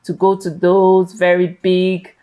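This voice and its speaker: Low-voiced woman with a Nigerian accent. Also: takes it slowly, faint music behind. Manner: relaxed, conversational, laughs often. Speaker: interview guest. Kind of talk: casual interview chat.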